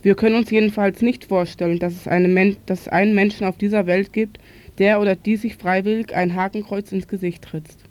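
Scripted radio commentary, medium-pitched voice. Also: fairly even intonation, a little fast, a little mellow. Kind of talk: scripted political commentary read aloud.

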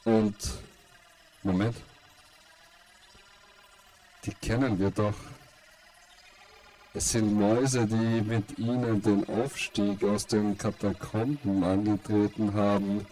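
Very slow, low-voiced man narrating. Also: little pitch movement, dark tone, far from the mic, slight background noise, poor audio. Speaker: narrator of a radio play. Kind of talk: scripted narration in an experimental radio play.

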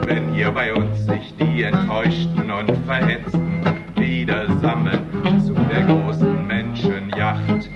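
A man performing a solemn protest song as a singer-songwriter, with drawn-out phrasing. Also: lowish voice. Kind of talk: slow protest song with guitar. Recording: distant, dull, muffled song recording. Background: loud acoustic guitar music.

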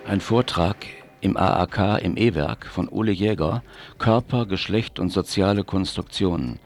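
Very deep-voiced man presenting radio listings. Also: moderate pace, faint music behind. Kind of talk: reading local event listings on morning radio. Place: radio studio.

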